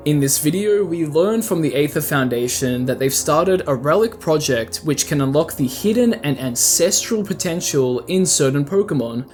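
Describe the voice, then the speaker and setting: Higher-voiced man narrating over music. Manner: conversational, explanatory, addresses the listener directly. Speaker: host and narrator of a video essay channel. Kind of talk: scripted video essay narration. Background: music under the voice.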